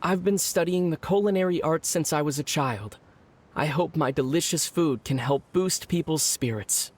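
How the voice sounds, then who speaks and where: A man plays an earnest young cook on a fantasy game adventure. His voice sits a bit high, and he speaks politely and sincerely. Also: normal speed, natural voice.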